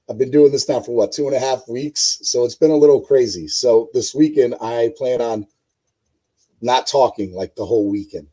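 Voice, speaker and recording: A man with a hoarse voice hosting a casual live-stream sports show, rambling with many fillers and talking to his chat viewers. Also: medium pitch, mellow, rough recording. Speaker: host.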